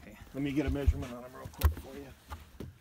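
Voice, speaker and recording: A man's voice: mid-range voice, flat delivery, fast talker, gravelly. A man working as a fishing guide, giving upbeat, joking instructions in casual chat on a small boat out on a lake. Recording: loud outdoor noise on a boat.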